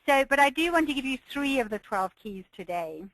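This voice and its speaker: A woman presenting a business webinar as a coach, slightly hoarse from a cold, with an enthusiastic, encouraging manner and frequent light laughs. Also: sits high, animated, brisk, a bit bright, no echo, low-quality recording.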